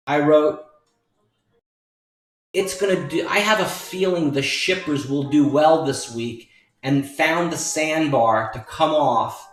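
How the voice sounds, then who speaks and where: A man giving an informal stock chart walkthrough as a trading educator, emphatic and repetitive in how he makes his points. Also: mid-range voice. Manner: emphatic, repetitive, addressing viewers as folks. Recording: roomy echo, distant mic, faint music.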